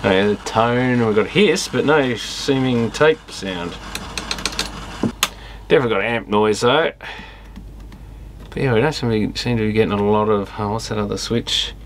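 A man's voice: on the low side, moderate pace, long pauses, noisy background. A man giving casual, unscripted commentary as he tinkers with electronics, thinking aloud in fragments and trailing off. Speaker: electronics repairer and video host.

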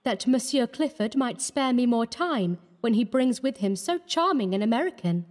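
A woman playing a high, lilting, teasing young coquette. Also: expressive, moderate pace, a little mellow, lightly acted. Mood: sweetly barbed. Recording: echoey hall-like reverb.

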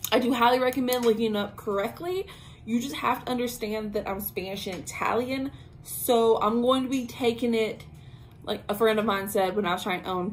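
A young woman talks casually into a camera in a small bedroom, her voice sitting a little high. She rambles with plenty of ums and slang while explaining her topic, over a faint hiss of room ambience. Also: a bit bright, low-quality recording. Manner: chatty and informal, lots of "um" and slang asides. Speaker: host of a witchcraft talk channel. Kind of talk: casual spoken lesson to camera. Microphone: camera at arm's length.

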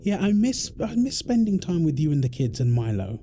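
A man playing an affectionate, tired husband and father in an intimate audio roleplay, talking tenderly with soft endearments. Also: fairly high pitch, very animated, a little fast. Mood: caring, relaxed. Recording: clear background ambient noise.

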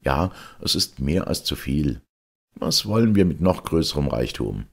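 A man voicing a calm, contented old husband in a fairy tale, speaking firmly. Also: extremely low pitch, measured pace, full character voice.

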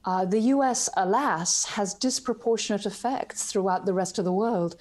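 A woman's voice: medium pitch, moderate pace, darkish tone. A woman panelist on a video call, answering in a direct, emphatic way. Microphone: webcam on video call.

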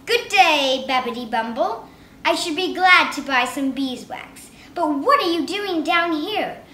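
A woman's voice: sits high, animated, a little slow, sharp tone, voice pushed up, full character voice. A woman voicing a fussy, tidy little female mouse who talks in a prim, scolding way. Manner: prim, scolding, house-proud. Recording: roomy with faint hiss.

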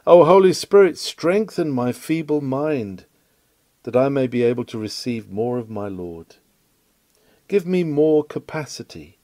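Higher-pitched man reading a solemn sermon. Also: a little mellow, dry recording. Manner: earnest, exhorting, solemn preaching delivery. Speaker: reader of historic sermons. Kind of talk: read-aloud 19th-century sermon, oratorical.

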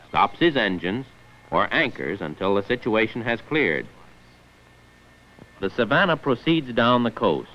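A man's voice: lowish voice, a little hiss or hum. A man narrating a scripted 1940s instructional film in a formal, precise manner, on an old muffled film soundtrack. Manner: formal, precise enunciation of figures.

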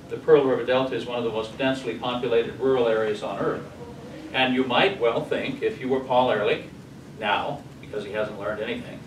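Male American speaker, 60s, emphatic lecture delivery, distant mic with background noise. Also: mid-range voice. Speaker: conference speaker. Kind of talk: slide presentation lecture. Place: hotel conference room, indoors. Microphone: far camera. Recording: distant camera mic, echoey, noisy room.

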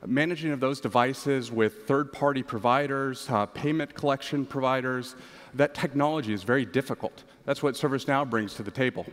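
A man in his forties with a medium-pitched voice answers interview questions as a business guest. He speaks on a large stage through a headset mic, with long hall reverb around his words. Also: a little fast, fairly bright tone. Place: large conference stage, indoors.

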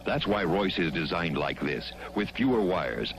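Very deep American male announcer, animated. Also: unhurried. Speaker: commercial announcer. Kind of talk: scripted 1970s TV ad narration. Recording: noisy vintage TV ad soundtrack.

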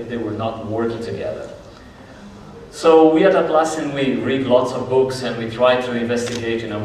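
A man in his forties gives a friendly, chatty conference talk at a mid pitch. He sounds distant from the mic, and the large hall around him adds heavy echo and a faint hum of ambience. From Brazil. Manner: friendly, conversational, gestures a lot. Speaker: conference speaker. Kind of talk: conference presentation. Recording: echoey hall from far off.

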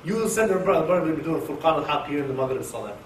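A man from Oklahoma giving an informal Quran class to an audience in a mosque, talking in a jokey, animated way and turning to people in the room. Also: on the high side, very fast. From Oklahoma, USA. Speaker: Quran teacher. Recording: echoey room, distant mic, faint hiss.

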